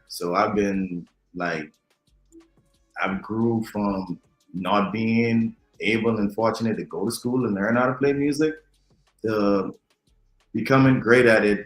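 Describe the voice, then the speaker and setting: A man from the Bahamas, a guest talking unhurriedly about his music, with many ums and pauses. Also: lowish voice. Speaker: DJ and drummer, interview guest. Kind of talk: relaxed conversational interview. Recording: echoey room.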